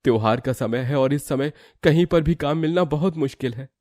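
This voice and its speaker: Man acting as worried working husband, pleading, earnest, higher-pitched, moral story cartoon, dry recording. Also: a little fast, a little mellow, dry recording, lightly acted. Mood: dejected.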